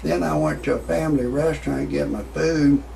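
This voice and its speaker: Older guy in his 60s doing folksy rambling amateur standup, gravelly voice, bit of background noise. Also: a little high, level tone, brisk, warm tone. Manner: folksy rambling, addressing the audience. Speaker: host of own online comedy show. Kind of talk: amateur stand-up style monologue with commentary.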